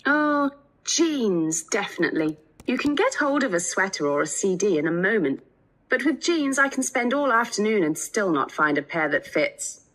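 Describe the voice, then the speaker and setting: A woman's voice: medium pitch, very animated, normal speed, a bit bright, rough recording. A woman playing a chatty, agreeable student in a scripted, conversational listening-test dialogue.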